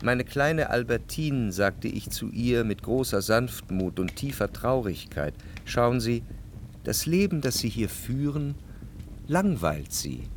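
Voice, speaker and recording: A man's voice: medium pitch, big pitch swings, takes it slowly, slight background noise. An actor playing the introspective narrator of a literary radio drama, a tormented, jealous lover who reflects precisely and expressively on his past. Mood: sad, gentle. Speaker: first-person narrator.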